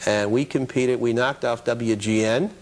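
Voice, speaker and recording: Man reminiscing in a halting way. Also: mid-range voice, fairly even intonation, a little hiss or hum. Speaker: talk show guest; advertising executive, former children's TV producer. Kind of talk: casual studio interview, reminiscing.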